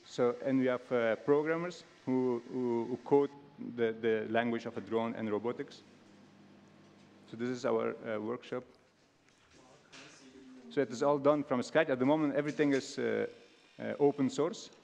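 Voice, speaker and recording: A man in his thirties from Afghanistan giving a stage talk, halting with many fillers and restarts. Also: medium pitch, fairly even intonation, vocal fry, a little mellow, lo-fi sound, slight background noise. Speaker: speaker. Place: large stage hall with audience. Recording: long hall reverb.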